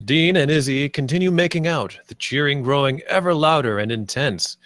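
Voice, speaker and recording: A man's voice: on the high side, moderate pace, fairly bright tone, dry recording. Man narrating a screenplay, dry recording. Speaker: narrator reading stage directions.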